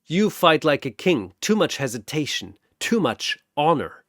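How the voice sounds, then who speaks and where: A man's voice: on the high side, very animated, unhurried, fairly bright tone, no echo, studio quality, exaggerated voice. A man voicing a predatory mercenary soldier with a mocking, taunting, cruel manner.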